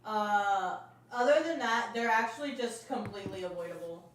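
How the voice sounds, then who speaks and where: A woman's voice: medium pitch, moderate pace. Distant, very echoey woman's voice. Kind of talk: casual chat about video games. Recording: very echoey, far from the mic.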